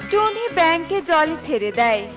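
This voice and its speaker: A woman narrates a children's fairy tale in a very high voice. She reads from a script as storyteller, with loud music underneath. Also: sing-song, normal speed.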